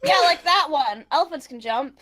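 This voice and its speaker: A woman's voice: sits high, expressive, normal speed, a bit bright. A woman improvising live dub lines, riffing absurdly and sounding exasperated. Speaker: fandub voice actor. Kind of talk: improvised live dubbing banter.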